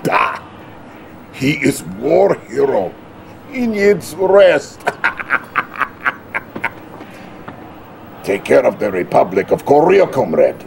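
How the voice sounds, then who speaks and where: A man's voice: mid-range voice, sing-song, extremely slow, creaky, long pauses, background noise, full character voice. A man playing a jovial, blustering middle-aged Soviet premier with a Russian accent in a campy game cutscene. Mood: expansive, amused.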